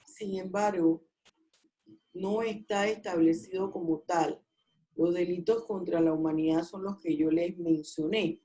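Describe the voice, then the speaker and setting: Female law lecturer, very unhurried delivery, didactic, leading an online class. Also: fairly low pitch, steady tone, warm tone, poor audio. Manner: didactic, questioning students. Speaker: law professor. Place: video call.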